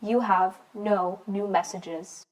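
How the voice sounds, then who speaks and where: A woman playing an impersonal announcer, reading scripted lines in a measured, deadpan way. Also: mid-range voice, noisy background, lightly acted. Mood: neutral. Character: flat, impersonal answering-machine announcer.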